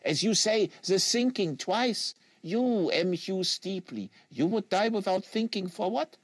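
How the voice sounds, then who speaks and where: Novel reading: man plays solemn Quebecois fanatic, lecturing, earnest, highish and very lively in pitch. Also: measured pace, slightly rough, dry recording, heavily acted. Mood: insistent, earnest. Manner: solemn, rhetorical, foreign phrasing. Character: earnest, lecturing French-Canadian male assassin in a wheelchair.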